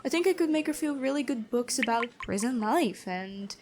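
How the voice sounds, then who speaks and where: A woman playing a gentle but stern, bookish female prison guard in a fantasy ASMR roleplay, chatty and inquisitive. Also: fairly high pitch, expressive, normal speed, background noise, lightly acted. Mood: chatty, wistful. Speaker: ASMR voice actor.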